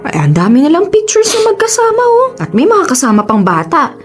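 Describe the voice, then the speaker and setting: Blunt, protective best friend. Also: female voice, high-pitched, huge pitch swings, a little fast, faint music behind. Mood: exasperated, suspicious. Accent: Filipino. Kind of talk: Filipino radio drama acting.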